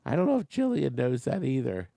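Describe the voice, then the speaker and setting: A man's voice: mid-range voice, huge pitch swings, brisk, creaky, mellow, dry recording. A man hosting a live online auction, chatting with bidders and making joking asides while losing his voice. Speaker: auctioneer and show host.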